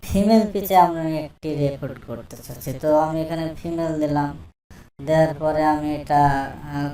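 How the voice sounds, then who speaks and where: Man pitched up into an echoey female voice. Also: warm tone, slight background noise. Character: female voice made with pitch-changing software. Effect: pitch-shifting voice changer. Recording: echoey room, distant microphone.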